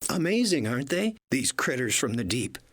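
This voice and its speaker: A man's voice: mid-range voice, very animated, normal speed, fairly bright tone. A man voicing a cheerful, kindly seafaring engine in a children's storybook, with a folksy, encouraging manner. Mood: delighted.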